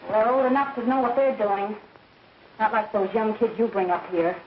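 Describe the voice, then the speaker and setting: A woman's voice: mid-range voice, moderate pace, slight background noise, lightly acted. An actress playing a nagging, disapproving woman who is protective of young kids, in 1930s film style. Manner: pleading, complaining. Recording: muffled, echoey 1930s film soundtrack.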